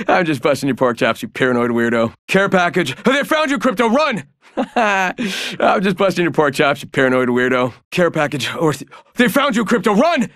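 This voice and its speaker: An actor playing a cocky, joking trickster in a video game, flippant and stammering, teasing theatrically. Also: male voice, high-pitched, very animated, normal speed, fairly bright tone, dry recording, clean studio sound, heavily acted. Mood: mock-excited teasing. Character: cocky, joking young male trickster fighter. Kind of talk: video game character voice lines.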